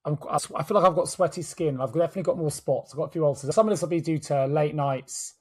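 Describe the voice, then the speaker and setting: Slightly husky man, higher voice. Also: fast talker. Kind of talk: casual conversational podcast chat. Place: home office.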